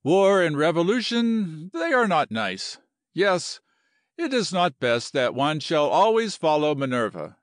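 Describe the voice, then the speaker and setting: Man playing an excitable, high-pitched Spanish-accented general. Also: a little slow, dry recording, clean studio sound. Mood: earnest, lovestruck. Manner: flowery, broken English with dramatic exclamations. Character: excitable, romantic Colombian general, a lovestruck man.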